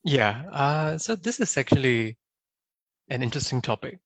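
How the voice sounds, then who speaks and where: A young man from Singapore, answering interview questions in a thoughtful, measured way and often tagging on "right?". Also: mid-range voice, animated, fairly bright tone, dry recording. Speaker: podcast guest.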